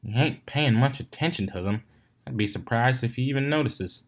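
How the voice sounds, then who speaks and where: Storybook farm pony mare played by a man: blunt, folksy, disapproving, medium-low everyday pitch. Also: moderate pace, lightly acted. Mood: skeptical. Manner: flat, folksy, scolding.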